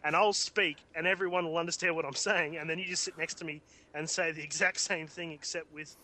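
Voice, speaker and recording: Bright-voiced Australian man, joking. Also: on the high side, steady tone, brisk, slight background noise. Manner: jokey, rambling, laughs often. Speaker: podcast co-host. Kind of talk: casual podcast chat.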